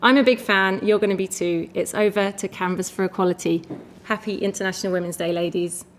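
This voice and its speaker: A woman opens the evening as its host, speaking in an effusive, grateful tone. Her pitch sits in the middle range for a woman. She names and thanks people in a steady run of warm introductions. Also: fairly quick, a little hiss or hum. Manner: effusive, thanking team members one by one. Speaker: festival organizer and host. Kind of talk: event introduction speech.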